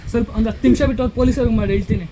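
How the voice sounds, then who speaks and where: A man's voice: very high voice, fairly even intonation, rapid-fire. A man chatting casually as the host of a prank-call vlog. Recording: faint background noise.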